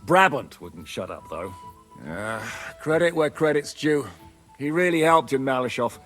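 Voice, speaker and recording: An actor playing a practical, plain-spoken leader of a medieval band in a naturalistic game cutscene. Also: male voice, mid-range voice, lively intonation, measured pace, fairly bright tone, soft background music. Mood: wry, conversational. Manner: blunt, matter-of-fact.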